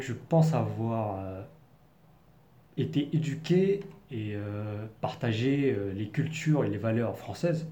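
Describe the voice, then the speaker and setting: A man's voice: on the low side, warm tone, a little hiss or hum. A young man from the Paris region telling a personal story to camera in an earnest, reflective way, searching for his words. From France, Paris region. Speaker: vlogger. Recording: echoey room.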